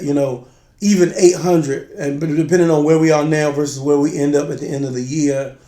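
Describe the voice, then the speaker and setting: A man with a slightly raspy, congested voice chatting casually as co-host of a drinks review show. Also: a little high, mellow. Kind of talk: casual chat. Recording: roomy, echoey sound.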